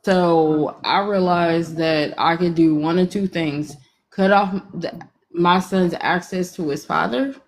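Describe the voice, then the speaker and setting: A woman's voice: lowish voice, fairly even intonation. Frank woman on a phone call. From Atlanta, Georgia, US. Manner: frank, self-deprecating, talks in quick bursts. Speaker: caller on a live stream. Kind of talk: candid casual storytelling.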